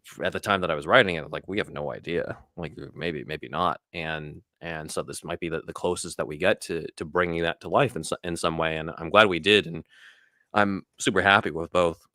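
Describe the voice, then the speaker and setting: A man with a very deep voice and a bright, forceful edge chats informally as an interview guest. The sound is close and dry, and he talks like a writer and actor discussing his craft. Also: steady tone, a little fast, dry recording. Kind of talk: casual interview chat.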